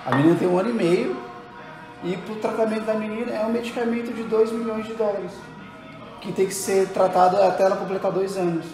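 A man's voice: high-pitched, fairly even intonation, fairly quick. Hesitant man in an echoey kitchen. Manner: hesitant, many fillers. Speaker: guest. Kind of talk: casual chat among friends. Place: home kitchen, indoors. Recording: echoey kitchen with music behind.

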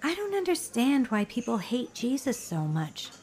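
A woman's voice: fairly high pitch, huge pitch swings, moderate pace, darkish tone. Earnest born-again woman telling her story. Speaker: lead actress and first-person narrator. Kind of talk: dramatized radio testimony narration.

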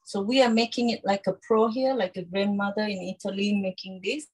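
A woman in her thirties presenting a live cooking demonstration from a home kitchen, in an instructional, halting way with frequent check-ins. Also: medium pitch, steady tone, rough recording. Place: small home kitchen, indoors.

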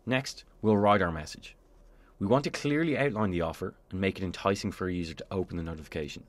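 A man with a low voice narrates a scripted software tutorial. He explains each step in a clear, orderly way, as a presenter on a screencast voice-over would. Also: fast, no room sound.

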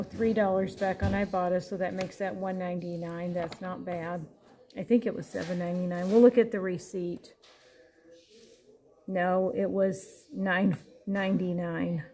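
American woman, mellow tone, fairly level intonation, casual vlogger, chatty item-by-item rundown. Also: medium pitch. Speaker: grocery haul vlogger. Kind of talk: casual narrated shopping haul. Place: indoors at a home dining table.

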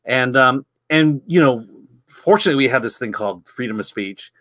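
A middle-aged man hosting a film review from a room at home over a video call. His voice is bright and sits close and dry, and he talks in a relaxed way, doubling up words as he gathers his thoughts. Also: medium pitch, brisk, no echo. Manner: conversational, repeats words while thinking. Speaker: host, film site editor. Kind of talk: casual film review chat.

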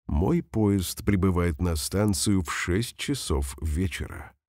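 A man reading example sentences slowly and carefully for language learners, saying each one twice. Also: low voice, lively intonation, darkish tone, no echo, studio quality. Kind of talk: slow repeated phrase reading for learners.

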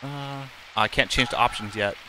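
Bright-voiced man reading aloud, some background noise. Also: mid-range voice, moderate pace, poor audio. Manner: plain, unacted reading with occasional stumbles. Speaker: live streamer. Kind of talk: reading visual novel text aloud with casual asides.

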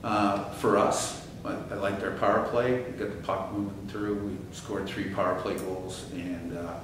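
Deep, flat-voiced middle-aged coach in an echoey room. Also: male voice. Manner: halting, many restarts and fillers. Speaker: head coach. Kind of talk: postgame interview. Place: press room at a table. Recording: echoey room from a distance, background hiss.

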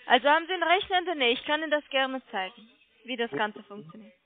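A woman telemarketer making a sales pitch over the phone, persistent and polite, coaxing with check-back questions. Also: animated, fast talker. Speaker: telemarketing caller selling online trading. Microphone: telephone call. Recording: muffled phone line.

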